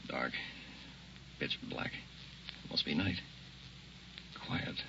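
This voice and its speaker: An actor playing a groggy, bewildered man in an old radio drama monologue, speaking in halting fragments. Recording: muffled, noisy, distant radio recording.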